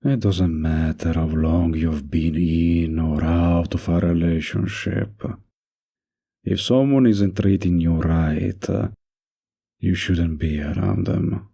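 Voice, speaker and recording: Male actor as mafia crime boss, husky, crackly low end, unhurried, intimate, flirtatious, quietly dangerous but kind, roleplay audio. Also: full character voice. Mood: firm, reassuring.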